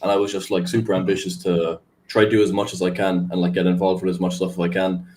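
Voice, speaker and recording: A man's voice: low voice, flat delivery, fast, a little mellow. A young man, a podcast guest, chatting casually in a rambling way with many fillers. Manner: rambling, filler-heavy, laid-back. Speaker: guest, crypto community manager.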